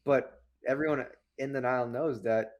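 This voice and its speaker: A man's voice: medium pitch, fairly even intonation, normal speed, dull audio. Man firing off rambling rhetorical questions. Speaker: co-host of a book review show. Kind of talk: casual, opinionated review discussion.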